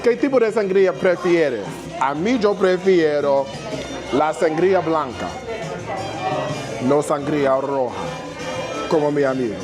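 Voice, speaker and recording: A man hosting a food vlog, chatting playfully and competitively while mixing English with halting Spanish. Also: on the high side. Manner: joking, competitive, switching between English and broken Spanish. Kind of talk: playful casual chat. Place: busy restaurant. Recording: echoey room with crowd chatter and music.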